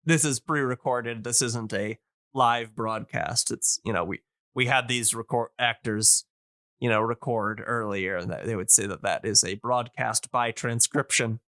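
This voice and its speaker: A man talking casually and enthusiastically as a podcast guest, explaining things in a rambling, halting way. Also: medium pitch, fairly even intonation, pushed and bright, no room sound, clean studio sound. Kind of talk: casual, enthusiastic info-dumping podcast chat.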